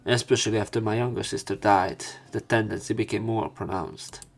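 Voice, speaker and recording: Low-voiced man narrating over game music. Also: steady tone, a little fast, creaky. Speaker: let's play narrator. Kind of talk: reading visual novel text aloud with commentary.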